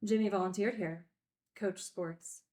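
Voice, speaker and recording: A woman's voice: mid-range voice, steady tone, a little slow, warm tone, close to an everyday voice. A woman acting a guarded, dryly frank recovering addict who teaches at a group home, in a film script read. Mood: candid, wry.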